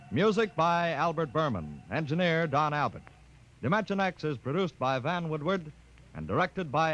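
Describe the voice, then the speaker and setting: A man's voice: fairly high pitch, fairly bright tone. A man announcing credits in a formal scripted 1950s radio style. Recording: muffled, hissy old radio recording.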